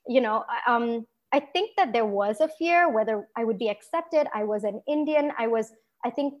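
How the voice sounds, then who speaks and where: A young woman from Singapore, a guest entrepreneur in a conversational interview, talking in a lively way with frequent laughs and restarts. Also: fairly high pitch, moderate pace, dull audio.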